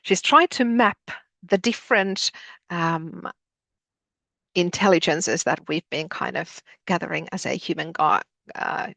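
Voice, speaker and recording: A woman with a Finnish accent giving a webinar presentation, hesitant with many pauses and restarts. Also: on the low side, vocal fry, pushed and bright, no room sound. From Finland.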